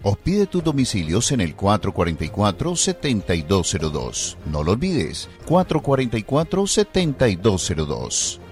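A man's voice: lowish voice, wildly swooping pitch, normal speed, hardly any pauses, a little mellow, soft background music. A man, working as a radio host and delivering a testimonial-style advert like an announcer.

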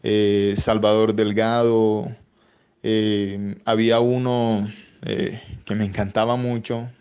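A man's voice: fairly low pitch, level tone, slow, darkish tone, clean tone. Colombian guy, interview answers, lots of ehs, kinda muffled sound. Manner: hesitant, many fillers and restarts. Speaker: voice-over artist guest.

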